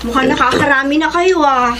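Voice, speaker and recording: A woman's voice: sits high, fairly quick, background noise, lightly acted. A woman acting an earnest young daughter who tells her story in a Filipino radio drama, with a Filipino accent. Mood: concerned. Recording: music bed under radio drama.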